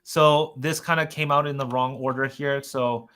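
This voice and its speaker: Male webinar host, mid pitch, very bright tone, earnest and conversational product presenter. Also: fairly even intonation, moderate pace, a little hiss or hum. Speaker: host of a software update webinar.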